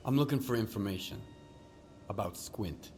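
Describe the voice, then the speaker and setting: Low-voiced terse game stalker played by a man. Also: moderate pace, darkish tone, faint music behind, lightly acted. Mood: businesslike. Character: terse male stalker protagonist seeking information. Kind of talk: video game dialogue.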